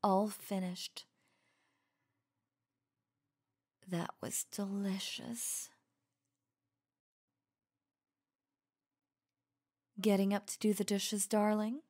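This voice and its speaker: Slow, sultry werewolf huntress acted by a woman. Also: medium pitch, flat delivery, a little mellow, close to an everyday voice. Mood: seductive, commanding. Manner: slow, breathy, intimate, often dropping to whispers. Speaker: audio roleplay voice actor. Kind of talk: ASMR roleplay.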